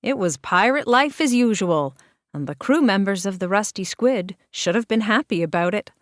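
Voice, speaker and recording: A woman reading a children's picture book aloud in an expressive, measured narration with deliberate dramatic pauses. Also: medium pitch, no room sound, clean studio sound. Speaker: children's storybook reader.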